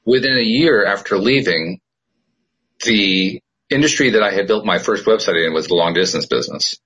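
A middle-aged man with a low, husky voice who hosts a podcast. He talks in an earnest, reflective way, telling his own story across a relaxed conversation. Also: normal speed, low-quality recording. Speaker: podcast host. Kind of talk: casual conversation.

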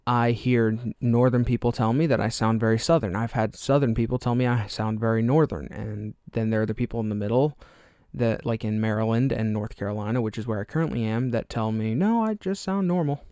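A man's voice: medium pitch, a little fast, warm tone, clear, resonant tone, no room sound, studio quality. A young man with a fairly neutral American accent, hosting his podcast alone, chatting casually and rambling off topic with fillers and small laughs. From Alabama. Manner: rambling, self-deprecating, loses track. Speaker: podcast host. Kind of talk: solo rambling podcast monologue.

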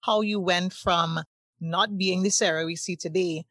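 A woman's voice: on the low side, fairly even intonation, a little slow, a bit bright, no room sound. A woman hosting an interview, encouraging her guest with frequent short affirmations. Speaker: host.

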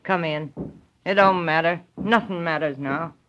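A man's voice: a little high, unhurried, bright, forceful tone, slight background noise. A man playing a weary, fearful old country widow in a 1930s radio drama, with a rural drawl. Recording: dull old radio recording.

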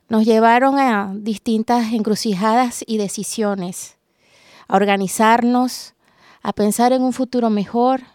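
Slow, mellow woman hosting. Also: medium pitch, clear, resonant tone, no room sound, clean studio sound. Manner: measured, reflective. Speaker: host. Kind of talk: reflective roundtable hosting. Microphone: studio microphone.

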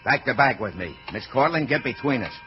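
An actor playing a tough, gruff, commanding police lieutenant in an old-time radio drama. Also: male voice, medium pitch, lively intonation, brisk, over music, close to an everyday voice. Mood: tense, commanding. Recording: noisy, muffled old radio recording.

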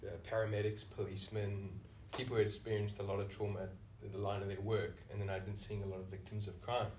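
A man's voice: fairly low pitch, flat delivery, fast talker, darkish tone, distant mic, slight background noise. A man with a South African accent, a senior clinician answering interview questions thoughtfully, often restarting with "you know". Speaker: senior clinician, podcast guest. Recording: echoey room, voice off-mic.

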